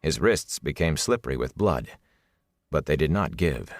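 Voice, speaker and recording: A man's voice: very deep voice, animated, moderate pace, no echo. A man reading a fantasy novel as a scripted audiobook narration, close on the microphone.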